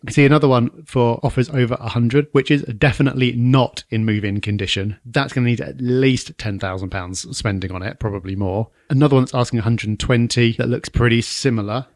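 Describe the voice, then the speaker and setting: A man co-hosting a podcast, talking through property analysis in a conversational, measured and analytical way. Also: medium pitch, dry recording, studio quality. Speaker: podcast co-host.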